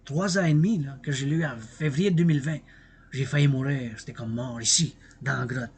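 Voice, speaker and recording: Husky Québécois man, bilingual ramble. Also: mid-range voice, brisk, darkish tone. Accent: Québécois French. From Quebec. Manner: switches between French and English, repeats phrases, breaks into song. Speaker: vlogger. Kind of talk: bilingual rambling livestream monologue.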